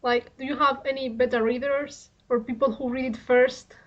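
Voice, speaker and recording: A woman's voice: sits high, little pitch movement, normal speed. A woman from Spain interviewing a writer on a radio show, asking curious questions. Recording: muffled radio studio audio.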